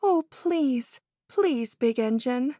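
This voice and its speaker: A woman's voice: sits high, animated, very slow, mellow, dry recording, clean studio sound, full character voice. A woman playing small dolls and toys in a children's storybook reading, pleading earnestly and imploringly.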